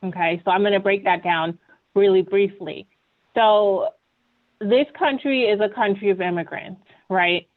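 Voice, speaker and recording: A woman speaks in an earnest, conversational way, often tagging her points with "right?". Her voice sits at an ordinary middle pitch. It comes through narrow, tinny video call audio. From Ghana. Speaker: webinar panelist. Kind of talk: conversational presentation.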